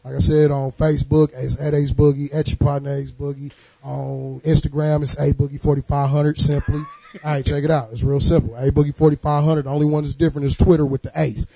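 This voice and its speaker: A hoarse man, a radio host, giving loose, profane shout-outs and plugging his show to friends. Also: on the high side, flat delivery, a little fast, dry recording, poor audio. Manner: boisterous, profane, rhyming bits. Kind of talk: loose hype shout-out talk.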